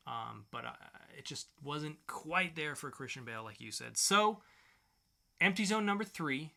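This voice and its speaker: A man's voice: fairly high pitch, expressive, normal speed, vocal fry, pushed and bright. A man co-hosting a podcast, reviewing comic books in an informal, enthusiastic way. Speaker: podcast co-host. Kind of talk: casual comic book review chat.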